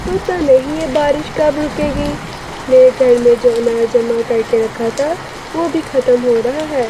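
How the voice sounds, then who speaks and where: A woman's voice: high voice, measured pace, background noise. A woman voicing an anxious, plaintive mother bird in a children's cartoon. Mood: worried. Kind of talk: Hindi children's cartoon story acting.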